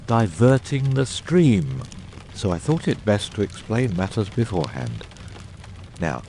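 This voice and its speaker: A man plays a masterful detective in an audiobook drama, with a very deep and dark voice. He is grave and theatrical, speaking in measured, eloquent, commanding phrases. A faint noise sits behind him. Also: creaky, exaggerated voice.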